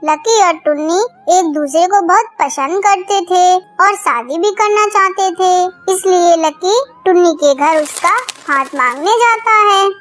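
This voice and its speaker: A woman's voice: extremely high pitch, measured pace, hardly any pauses, a bit bright. A woman narrator reading a scripted children's story.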